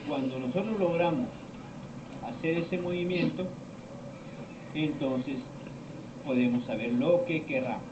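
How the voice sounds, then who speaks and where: Slow-talking man lecturing in echoey room. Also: on the high side. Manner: slow, rambling storytelling with many pauses and quoted dialogue. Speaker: teacher of esoteric topics. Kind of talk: informal lecture to students. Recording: echoey room, distant mic, dull lo-fi sound, noisy.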